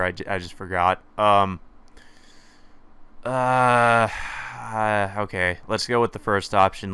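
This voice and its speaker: Man, let's play host, casual, hesitant, bright forceful tone, lowish voice. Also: smooth, no echo. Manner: hesitant, self-deprecating, many fillers. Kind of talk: casual gameplay commentary.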